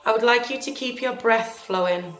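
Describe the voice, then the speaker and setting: A woman leading a guided meditation in a gentle, soothing, deliberate manner, leaving long pauses between phrases. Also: fairly high pitch. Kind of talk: guided meditation, scripted narration. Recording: roomy echo with faint music behind.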